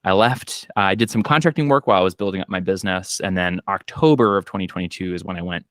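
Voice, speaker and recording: Thirties male accountant, Minnesotan accent, deep voice, dry sound, relaxed chatty storyteller. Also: fast, dry recording. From Minnesota, US Midwest. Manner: relaxed storytelling with frequent fillers and quoted asides. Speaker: accountant and business owner. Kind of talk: casual podcast conversation. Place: home office. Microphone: video call.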